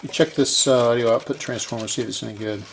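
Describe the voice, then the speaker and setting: A man's voice: medium pitch, fairly even intonation, fast talker, vocal fry, noisy background. A man giving casual hands-on repair narration, thinking aloud and often correcting himself as he works. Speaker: host of a radio repair channel.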